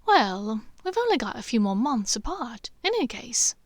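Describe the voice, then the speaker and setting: A bright, indignant young woman pitches up high and squeaks out her protests, as a woman narrator puts her on. The sound is dry and close to the mic. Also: moderate pace, no room sound. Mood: lively. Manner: high, lively, protesting with a laugh.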